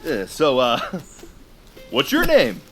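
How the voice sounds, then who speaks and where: A man's voice: mid-range voice, wildly swooping pitch, takes it slowly, fairly bright tone, soft background music, heavily acted. An actor playing a friendly, goofy young male summer camp counselor, laid-back and slangy, in an audio drama. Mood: goofy, chummy. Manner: slangy, easygoing, hesitant with ums.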